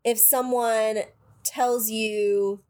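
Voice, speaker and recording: A woman co-hosting a casual podcast chat, sounding nasal from a cold, animated and cursing freely. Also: fairly high pitch, extremely slow. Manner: swearing, animated, acts out quoted voices. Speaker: podcast co-host.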